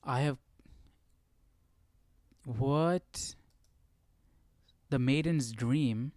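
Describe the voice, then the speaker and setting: A man's voice: medium pitch, extremely slow, darkish tone, no echo. A man hosting a casual live study session, thinking aloud in a tentative, questioning way. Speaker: livestream Bible study host.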